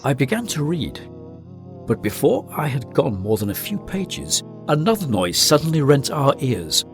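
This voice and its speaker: An actor playing a haunted gentleman narrating a past horror in a radio drama, in a grave, literary, theatrical manner. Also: medium pitch, sing-song, normal speed, loud music behind the voice. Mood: grave dread.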